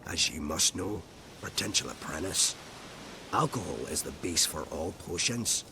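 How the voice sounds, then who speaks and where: Old druid, low voice, imperious, condescending, breathy endings, fantasy game, noisy background. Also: male voice, lively intonation, unhurried, full character voice. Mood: haughty. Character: crotchety, haughty old male druid and alchemist. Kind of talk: scripted video game acting.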